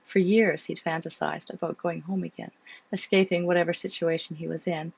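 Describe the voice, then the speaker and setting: A woman with a cold who chats casually as an author and then reads from her own novel, sounding hoarse. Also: lowish voice, little pitch movement, brisk, lo-fi sound. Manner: chatty, with fillers before reading. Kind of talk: casual chat, then book reading.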